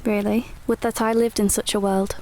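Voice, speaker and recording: A woman playing a spirited, frank young suffragette of 1912 in a radio drama, narrating and speaking in a measured, earnest, literary way. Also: mid-range voice, little pitch movement, darkish tone, natural voice. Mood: wry.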